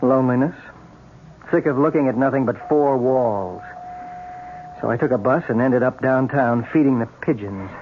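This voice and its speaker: A man's voice: mid-range voice, moderate pace, natural voice. A man acting the part of a bitter, self-pitying, jealous lame husband in a 1970s radio drama, with a sarcastic, wounded manner. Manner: sarcastic, wounded, theatrically pointed. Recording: tinny, noisy 1970s radio broadcast.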